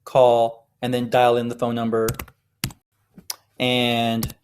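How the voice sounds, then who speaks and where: A man's voice: medium pitch, completely flat delivery, slow, a little hiss or hum. Man explaining steps in a tutorial. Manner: explanatory, step-by-step. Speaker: instructor. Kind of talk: informal screencast tutorial narration.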